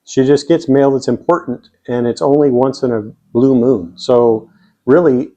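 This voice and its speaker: A man's voice: medium pitch, fairly even intonation, moderate pace, warm tone. An American man explaining things step by step in a plainspoken, casual vlog style. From United States. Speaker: vlogger. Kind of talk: casual explainer to camera or screen.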